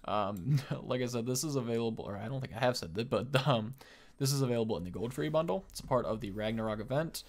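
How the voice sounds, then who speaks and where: A man's voice: medium pitch, quick pace. A man in his thirties giving casual, enthusiastic, informal gameplay commentary as a video creator. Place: small indoor room at home, on webcam.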